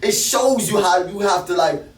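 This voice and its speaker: A man with a South Florida accent, a rapper telling stories in an animated, slangy way in a casual interview chat. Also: high-pitched, normal speed. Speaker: rapper guest. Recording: roomy, mic at a distance.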